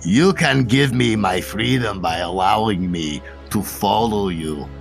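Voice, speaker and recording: A man's voice: lowish voice, expressive, measured pace, creaky, soft background music. A man playing a scheming genie. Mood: commanding. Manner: grand, commanding stage speech. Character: scheming male genie of the lamp.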